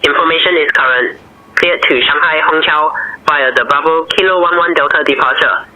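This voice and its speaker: A man's voice: fairly high pitch, moderate pace, low-quality recording. A man with a Chinese accent acting as air traffic controller, giving clipped, formal radio instructions. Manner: clipped, formal, even delivery. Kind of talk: radio phraseology.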